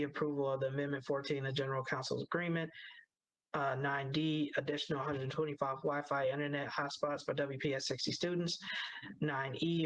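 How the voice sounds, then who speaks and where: A man speaks as the chair of a formal meeting, in an even voice with little melody. He is procedural and somewhat halting as he reads out motions. The sound is dry and close. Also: mid-range voice, dry recording, poor audio.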